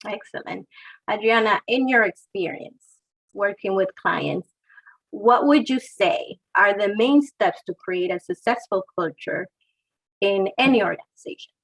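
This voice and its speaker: A woman's voice: mid-range voice, measured pace, gravelly, poor audio. A woman hosting a webinar, reading introductions and asking her guest questions in a friendly, scripted way. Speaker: webinar host.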